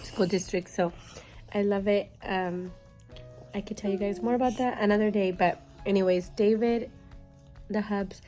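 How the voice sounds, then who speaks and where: A woman's voice: mid-range voice, fairly even intonation, normal speed, mellow, soft background music. A young woman with a stuffy, sore throat chatting casually to her phone camera, rambling with fillers. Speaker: vlogger. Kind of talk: casual vlog chat to camera. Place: indoors at home, then outdoors in snow. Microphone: phone held at arm's length.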